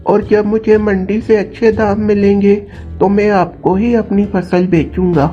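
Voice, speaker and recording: Woman playing a slow-spoken humble village farmer. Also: medium pitch, flat delivery, dark tone, lo-fi sound, soft background music, lightly acted. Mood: humble, eager. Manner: deferential, polite. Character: humble, hardworking poor village farmer, a man.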